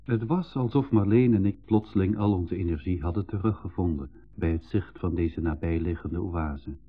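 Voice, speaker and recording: A man playing an eager but sceptical brother and narrator in a 1980s educational radio drama, clearly enunciated, in a dull recording. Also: deep voice, moderate pace. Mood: narrating.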